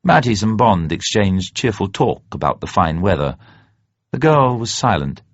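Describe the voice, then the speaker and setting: A man reading a novel aloud as dramatic narration, measured, literary and expressive. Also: fairly low pitch. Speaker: narrator, audiobook reader. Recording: dull, roomy recording.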